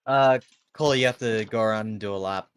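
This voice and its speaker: A person giving offhand instructions to the drivers, heard quietly from off-mic. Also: medium pitch, a little fast, smooth.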